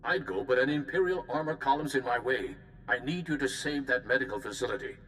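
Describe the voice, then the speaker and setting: An actor voicing a calm, principled Jedi mission leader in a video game, with a formal, courteous manner. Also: male voice, medium pitch, fairly quick, a little hiss or hum, natural voice. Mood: urgent.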